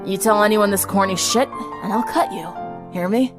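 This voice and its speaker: A woman plays a brash teenage girl, once a siren, in a dramatic fan-fiction reading. She is sarcastic and dismissive, quick to snap and grudging when candid. Music plays clearly underneath. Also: medium pitch, close to an everyday voice. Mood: gruff, teasing.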